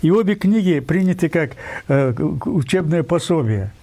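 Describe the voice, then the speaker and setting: An older man from Kyrgyzstan speaks as an interview guest, his voice sitting unusually high for a man. He presses his points insistently, wandering off on tangents and filling pauses with hesitations. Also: animated, mellow. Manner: insistent, digressive, many pauses and fillers. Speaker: guest writer. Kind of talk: emphatic interview talk.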